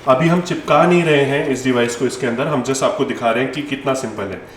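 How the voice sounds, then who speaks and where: A man's voice: medium pitch, a little fast, a little hiss or hum. Echoey Indian man giving a hands-on tutorial. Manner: instructive, repeats key points, mixes in English terms. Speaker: store presenter demonstrating helmet intercom installation. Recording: echoey room with music behind.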